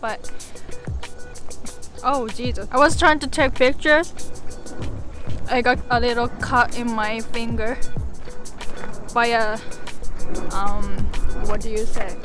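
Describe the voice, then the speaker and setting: A woman whose first language is Japanese talks slowly and chattily to her viewers as she walks outdoors, her voice a little high. She is recorded on a handheld camera mic outdoors, with background music clearly laid under her. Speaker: urban explorer vlogger. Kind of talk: casual exploring vlog. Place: outdoors at an old fort, walking. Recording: background music over poor outdoor audio.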